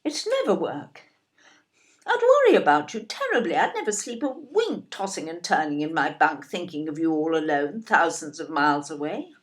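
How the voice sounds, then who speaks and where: An actress playing a fussing, incredulous older British wife in an audio play, fretful and full of exclamation. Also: female voice, sits low, big pitch swings, moderate pace. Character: fussing, indignant older wife, doubting her husband.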